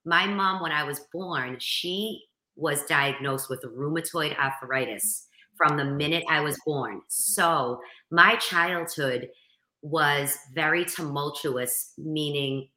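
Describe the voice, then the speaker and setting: A woman's voice: deep voice, slow, bright, forceful tone, roomy sound. A woman with a strong Boston accent, a motivational speaker chatting earnestly as a podcast guest. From Boston area, Massachusetts. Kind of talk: casual interview chat.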